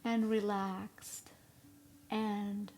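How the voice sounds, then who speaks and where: A woman leading a live guided meditation in a soothing, instructional way, with long pauses between short phrases. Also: mid-range voice, little pitch movement, a little mellow. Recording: live recording with clear background noise.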